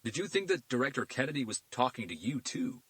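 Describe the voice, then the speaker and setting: A man's voice: medium pitch, sing-song, a little fast, fairly bright tone, no room sound, voice pushed up. A man voicing a smug young male federal agent who talks down to others. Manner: condescending, dismissive.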